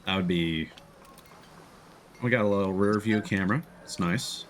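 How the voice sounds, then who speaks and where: A man's voice: on the low side, normal speed, slight background noise. A man livestreaming casual gameplay commentary, thinking aloud in a playful way. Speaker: live streamer.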